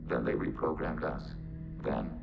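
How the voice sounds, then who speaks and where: An extremely low, heavily creaking robotic voice, a calm machine intelligence from a sci-fi game. It speaks in measured, literal, detached phrases. Loud music sits behind the muffled sound. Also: male voice, exaggerated voice. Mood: detached, matter-of-fact. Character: calm synthetic machine being speaking for a collective.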